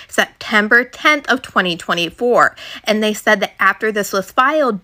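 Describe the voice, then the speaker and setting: A woman's voice: a little high, pushed and bright. A woman delivering scripted news commentary on a legal case.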